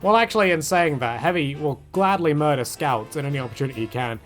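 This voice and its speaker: A man's voice: on the high side, expressive, fast, soft background music. A man giving a casual, rambling video commentary in a chatty way, often correcting himself and wandering off on tangents. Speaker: gaming video creator.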